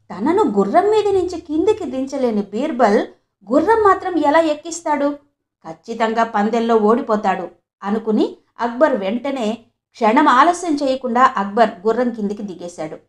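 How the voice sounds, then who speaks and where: A woman narrates a folk tale. She is expressive and conversational, often turning to address the listener directly. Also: on the high side. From Andhra Pradesh, India. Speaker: storyteller, channel host. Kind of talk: expressive moral storytelling.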